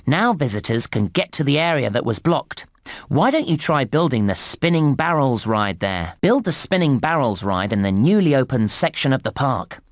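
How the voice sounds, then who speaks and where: A man playing a cheerful, encouraging theme park guide in a game, giving scripted instructions in a clear presenter's delivery. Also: medium pitch, very animated, normal speed, dry recording, clean studio sound, lightly acted. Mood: upbeat, instructive. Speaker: game narrator and tutorial guide.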